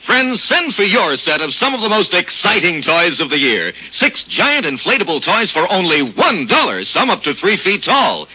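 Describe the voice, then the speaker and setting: A man reading a brisk hard-sell radio commercial. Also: high-pitched, big pitch swings, sharp tone, breathy edge. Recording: dull lo-fi old radio.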